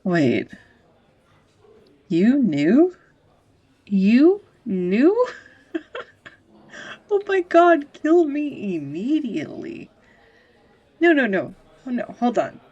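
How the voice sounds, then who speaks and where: A young woman acting a tender, easily flustered sweetheart in an intimate romantic audio roleplay, with a husky, breathy, hesitant voice. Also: fairly high pitch, huge pitch swings, extremely slow, pauses often, darkish tone, lo-fi sound, heavily acted. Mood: mortified, flustered. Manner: hesitant, confessional, breaking into whispers.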